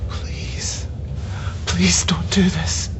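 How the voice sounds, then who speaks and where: A woman playing a frightened captive daughter in a radio drama, pleading in a breathy, halting way. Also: on the low side, little pitch movement, in a whisper.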